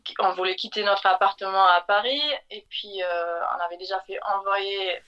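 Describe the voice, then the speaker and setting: Woman guest chatting, bright voice, lots of euh, kinda dull lo-fi sound. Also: mid-range voice, flat delivery, normal speed, clear, resonant tone. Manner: hesitant, many fillers, soft laughs. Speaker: guest, chocolatier. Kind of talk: casual interview chat.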